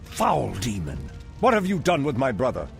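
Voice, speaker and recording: A man's voice: medium pitch, huge pitch swings, moderate pace, vocal fry, faint music behind. An actor playing a stern, unyielding male elf druid in a video game cinematic, speaking in a declaiming way.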